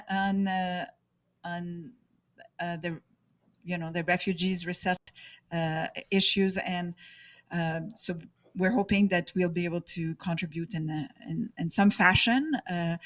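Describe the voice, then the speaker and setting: A woman moderating a panel discussion, speaking haltingly with many restarts and fillers. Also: fairly low pitch.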